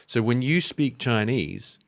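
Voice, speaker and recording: A man's voice: medium pitch, animated, slow, creaky, dry recording, studio quality. A man chatting casually as a podcast guest, often affirming the others. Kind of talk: casual conversation.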